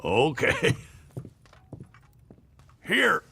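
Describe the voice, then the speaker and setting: An actor playing a gruff, skeptical frontier lawman in a video game, with a gravelly voice and an unhurried delivery. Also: a little high, wildly swooping pitch, pushed and bright, a little hiss or hum, exaggerated voice. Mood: amused, skeptical. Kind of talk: video game cutscene acting.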